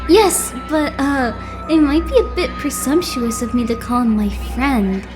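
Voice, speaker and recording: Casting: female actor for eager teenage boy idol, high and very animated, dramatic fanboy energy, anime game dub over music. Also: a little slow. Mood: gushing. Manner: gushing, dramatic. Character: excitable young male idol fanboy, eager, easily alarmed.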